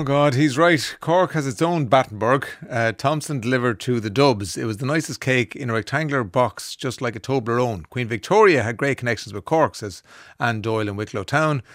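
A man's voice: mid-range voice, a bit bright, no room sound. Irish male radio host, dry studio sound. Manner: easygoing, chatty, reads messages aloud. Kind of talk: casual radio chat, reading listener messages. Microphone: studio microphone.

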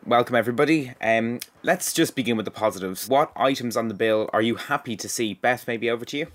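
A man hosting an environmental podcast, reading a scripted introduction in a measured, clear way and then moderating a discussion. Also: medium pitch. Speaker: podcast host. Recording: music bed behind the voice. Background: loud music.

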